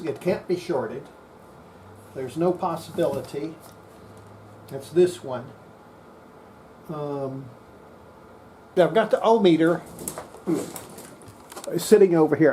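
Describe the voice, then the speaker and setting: An electronics restorer giving an unscripted hands-on repair demonstration, thinking aloud in a halting way. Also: medium pitch, dark tone, low-quality recording. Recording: clear background noise.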